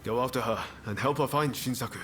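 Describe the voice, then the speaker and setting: An actor playing an earnest, take-charge rebel comrade in samurai-era Japan for a video game, speaking in a brisk, friendly way. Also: male voice, mid-range voice, fairly bright tone, a little hiss or hum, close to an everyday voice. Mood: serious, urgent. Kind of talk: dramatic video game voice acting.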